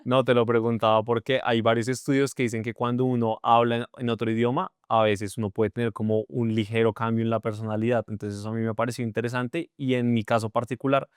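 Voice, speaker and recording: A man hosting a podcast interview, asking measured, curious questions. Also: mid-range voice, level tone, clean tone, dry recording, studio quality.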